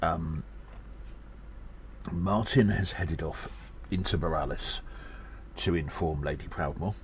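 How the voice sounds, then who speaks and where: A low-voiced, earnest gnome adventurer acted by a man delivers an audio drama report. He sounds worried and urgent, with hesitant phrasing. There is noticeable noise in the recording. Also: slow. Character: earnest, worried gnome adventurer rallying allies against an attack. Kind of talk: audio drama monologue, spoken as a personal report.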